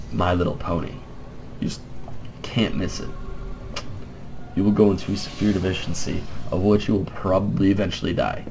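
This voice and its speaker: A young man with a stuffed-up nose, giving mellow, quiet commentary on a strategy game with joking asides. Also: sits low, vocal fry, a little hiss or hum. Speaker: game caster. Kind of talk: laid-back game commentary.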